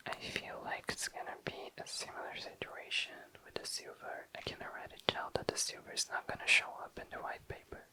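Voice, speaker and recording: An ASMR host whispering in a breathy, unvoiced voice, musing casually and tentatively, close to the mic. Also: fairly quick, few pauses. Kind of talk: whispered ASMR, casual makeup swatching narration.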